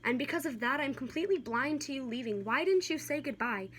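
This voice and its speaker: High-voiced young woman reciting slam poetry. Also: lively intonation, fairly quick, a bit bright. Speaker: amateur slam poet. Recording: amateur recording with light background noise.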